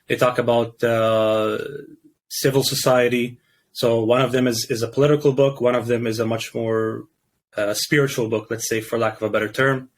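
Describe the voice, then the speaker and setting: A young man from Canada talks in a relaxed interview. He speaks in a middle register, stopping and restarting often as he gathers his thoughts, with frequent fillers. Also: medium pitch, normal speed. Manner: hesitant, many restarts and fillers. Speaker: guest. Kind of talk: casual interview chat.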